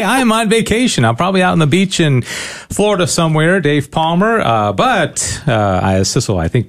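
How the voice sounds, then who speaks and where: Lively chatty male radio host. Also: a little high. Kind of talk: radio interview.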